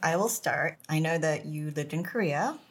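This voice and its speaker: Deep-voiced woman interviewer, plain and direct. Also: lively intonation, moderate pace, breathy edge. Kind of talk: interview questions.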